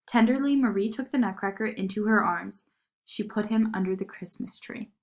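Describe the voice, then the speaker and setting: A woman narrating a children's story in an expressive read-aloud style. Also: mid-range voice, normal speed.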